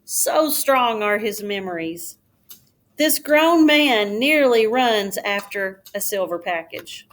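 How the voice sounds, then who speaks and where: A woman reading a children's storybook aloud like a schoolteacher, in a careful, expressive storytelling manner. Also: medium pitch, slow pace, a little hiss or hum.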